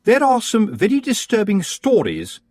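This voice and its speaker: A man's voice: high voice, huge pitch swings, normal speed, a little mellow, no room sound, pitched above the performer's own voice, full character voice. Prim, sugary witch, as a man puts her on. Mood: honeyed. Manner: falsely sweet, overly polite. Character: prim, sugary middle-aged witch, woman.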